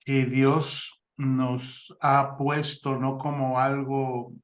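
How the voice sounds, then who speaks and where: A man's voice: medium pitch, level tone, drawn-out words. Affirming man interviewer, echoey room. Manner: affirming, many fillers and restarts. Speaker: interviewer, mission ministry host. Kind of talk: conversational interview. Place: Spain.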